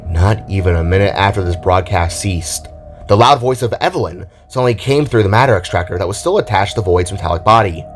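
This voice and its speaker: A man's voice: low voice, fairly quick. A man reading scripted, dramatic narration for an audio drama, with music behind his voice.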